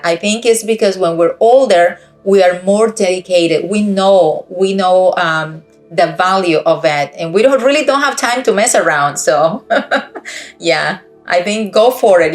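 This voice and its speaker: A middle-aged woman from Costa Rica with a Costa Rican accent, giving an earnest, encouraging personal testimony over a video call. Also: mid-range voice. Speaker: guest speaker.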